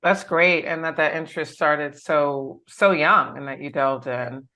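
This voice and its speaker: A woman's voice: deep voice, a little slow, sharp tone. A woman hosting a job-advice interview on a video call, asking questions and giving short encouraging replies. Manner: encouraging, brief affirmations. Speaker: host. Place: home room. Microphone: laptop webcam on video call.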